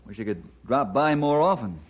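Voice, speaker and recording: A man's voice: medium pitch, lively intonation, normal speed, slightly rough. A man playing a friendly, patient forest ranger in an old-time radio drama, with a folksy, conciliatory manner. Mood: thoughtful, friendly. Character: friendly, calm, well-meaning male forest ranger. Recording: muffled vintage radio recording, faint noise.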